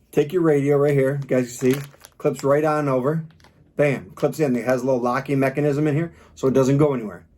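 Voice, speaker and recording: Male reviewer, medium pitch, informal and practical, walks through gear piece by piece in plain conversational style. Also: darkish tone. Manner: informal, demonstrates step by step. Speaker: product reviewer. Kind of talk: casual hands-on product review.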